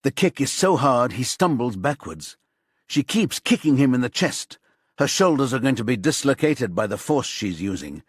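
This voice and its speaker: Male narrator for a thriller audiobook: measured, suspenseful delivery, medium pitch, dry studio-like sound. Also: no echo.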